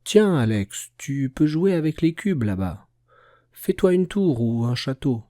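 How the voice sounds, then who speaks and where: Man as a bossy young bear cub, raised pitch. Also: sing-song, unhurried, warm tone, no room sound, clean studio sound. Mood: distracted. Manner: bossy, exasperated big-brother way of talking. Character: young bear cub, dreamy, easily annoyed big brother. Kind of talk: children's storybook character voice.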